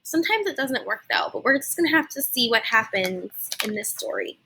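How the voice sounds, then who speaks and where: A woman reading a children's story aloud like a teacher, animated and explaining things simply for young listeners. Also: a little high, fairly quick, a little hiss or hum. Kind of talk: expressive children's read-aloud with explanatory asides.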